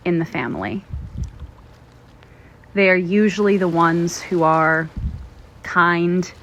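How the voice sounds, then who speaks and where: A woman leads a guided meditation in a fairly low voice. Her delivery is very slow and gentle, with frequent pauses between short phrases. Clear ambient noise sits behind her. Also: low-quality recording.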